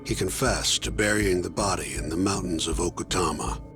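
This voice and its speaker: A man's voice: deep voice, moderate pace, creaky, big-room sound, over music. An actor playing a blunt, skeptical male partner who dismisses the case. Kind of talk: video game voice acting.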